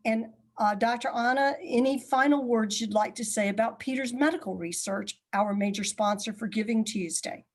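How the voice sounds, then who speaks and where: A woman's voice: on the high side, normal speed, hardly any pauses. A woman interviewer asking her guest polite, friendly questions.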